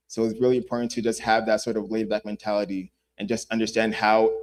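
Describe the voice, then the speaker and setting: A man's voice: lowish voice, a little mellow. Young man on a panel, keeps asking "right?". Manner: casual, says "right?" a lot. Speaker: youth panelist. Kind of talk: panel discussion.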